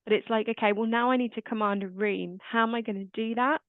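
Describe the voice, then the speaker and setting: Calm, quiet-voiced woman. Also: on the high side, fast, no echo. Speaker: host. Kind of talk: casual chat. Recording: dry, tinny phone-like line.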